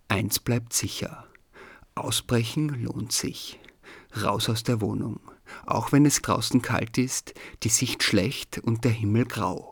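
A man's voice: medium pitch, vocal fry, dry recording. A man reading scripted narration as the host of a radio programme.

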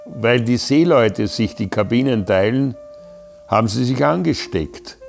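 An actor playing a somber seaman, narrating plainly and gravely in a radio play. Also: medium pitch, expressive, unhurried, faint music behind. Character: somber male seaman reporting sickness aboard.

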